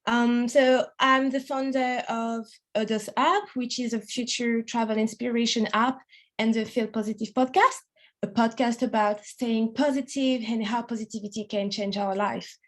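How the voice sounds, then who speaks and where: Podcast guest: woman, 20s, French accent, slightly high voice, cheerful casual chat. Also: steady tone, moderate pace. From France. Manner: upbeat, laughs often, many fillers like "like".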